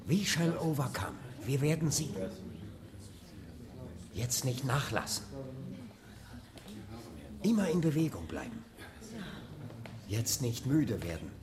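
An actor playing a preacher-activist who exhorts and encourages weary followers in a radio drama. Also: male voice, mid-range voice, very animated, crowd noise. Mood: encouraging, steadfast. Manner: exhorting, deliberate.

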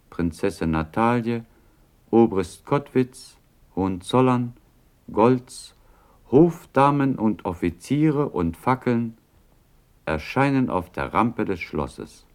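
A man reading stage directions as narrator of a German classical radio drama, solemn and deliberate. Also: mid-range voice, a little mellow.

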